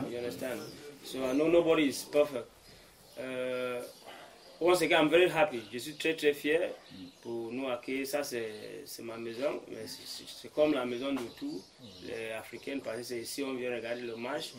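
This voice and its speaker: Cameroonian man, English-native host, hesitant, mid-pitched, full of fillers. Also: fairly even intonation, normal speed, a crowd in the background. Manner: hesitant, many fillers, mixes French and English. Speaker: host of the gathering. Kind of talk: halting welcome speech. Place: room in a private home.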